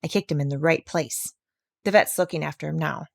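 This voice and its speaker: A woman's voice: deep voice, brisk, creaky, no echo, clean studio sound, pitched below the performer's own voice. Woman voicing a grumbling plain-spoken horse. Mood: indignant.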